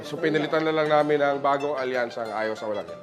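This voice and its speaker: A man with a Filipino accent playing a vain, eager would-be president in a comic satire sketch, talking haltingly and seeking approval. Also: medium pitch, over music, lightly acted. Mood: eager, scheming. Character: vain, eager mustachioed politician hoping to become president.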